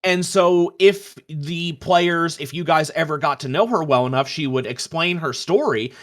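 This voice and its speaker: A man's voice: a little high, moderate pace. A man co-hosting a casual discussion of tabletop roleplaying, telling stories in an earnest, emphatic way. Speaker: podcast co-host.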